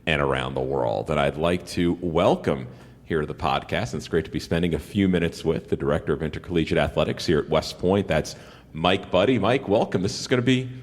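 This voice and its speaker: A man's voice: extremely low pitch, a little fast, in a large hall. A man who works as a sports broadcaster, hosting an interview in a polished radio style.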